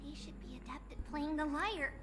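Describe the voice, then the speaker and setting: A woman's voice: high voice, normal speed, noisy background, lightly acted. A woman voicing an earnest, upbeat young woman character in a game, pleading and then cheerfully suggesting a song. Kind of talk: game voice acting.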